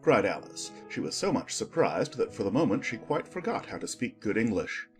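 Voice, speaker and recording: A man reading scripted storybook narration in an expressive storytelling manner. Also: lowish voice, normal speed, soft background music.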